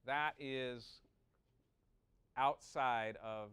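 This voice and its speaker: A man's voice: mid-range voice, fairly even intonation, extremely slow, a bit bright, clean tone, dry recording. A man giving an informal technical lesson, thinking aloud and checking in with short questions. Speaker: teacher of audio engineering. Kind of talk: informal classroom lesson, working through a calculation aloud.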